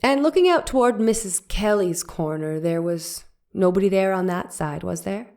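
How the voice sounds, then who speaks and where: A woman's voice: mid-range voice, expressive, moderate pace, warm tone, natural voice. Woman playing a probing 1890s courtroom attorney. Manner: pressing, leading questions. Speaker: cross-examining lawyer. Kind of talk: dramatic reading of a trial transcript.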